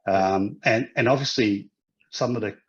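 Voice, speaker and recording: A man's voice: on the low side, measured pace, dull audio. A man from Hong Kong who grew up in Australia, a guest in a casual interview, who talks with many restarts and fillers. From Hong Kong, raised in Australia.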